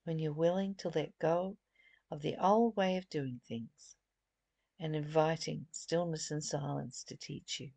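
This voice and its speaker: A woman giving a reflective spiritual lesson, reading and explaining in deliberate, emphatic phrases with pauses and repetition. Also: low voice, gravelly, mellow, dry recording. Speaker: spiritual study teacher.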